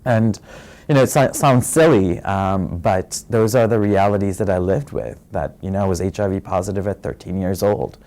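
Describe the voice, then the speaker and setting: A man from Fiji speaks with a low, mellow voice, recounting his life in a soft and reflective way. He pauses often and fills gaps with small hesitations, unhurried and personal. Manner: soft-spoken and reflective, many pauses and fillers. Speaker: interviewee sharing his story. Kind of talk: personal testimony.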